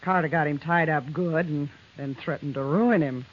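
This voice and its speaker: A woman's voice: deep voice, moderate pace. An actress playing a shrewd, worldly frontier woman with a frank, wry manner in an old radio western. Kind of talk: 1950s radio western acting. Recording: muffled old radio recording.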